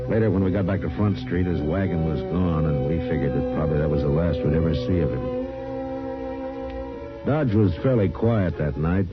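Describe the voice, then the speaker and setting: A man playing a steady, world-weary frontier marshal in an old-time radio western, sombre and plain-spoken. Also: extremely low pitch. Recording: dull old radio recording.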